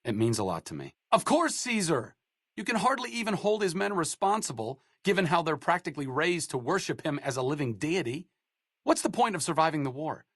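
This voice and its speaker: A man's voice: fairly high pitch, big pitch swings, fairly quick, a bit bright, dry recording, full character voice. An actor playing a wry, sardonic male doctor in a video game, with an articulate, bookish way of talking and dry sarcastic wit. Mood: earnest. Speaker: companion character in a video game. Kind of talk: game voice acting. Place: studio booth.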